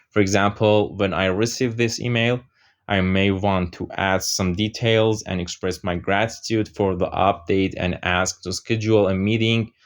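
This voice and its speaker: A man with a fairly low voice and Persian as his first language walks through a software tool over a screen recording. He explains each step steadily, often pausing on "uh". The voice-over has faint mouse clicks underneath. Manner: steady explaining with frequent "uh" fillers. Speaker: software tutorial presenter.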